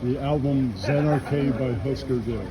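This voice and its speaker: A man's voice: mid-range voice, fairly even intonation, normal speed, crowd noise. A man doing a casual street interview as the interviewer, asking blunt questions one after another. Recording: noisy outdoor urban street with traffic.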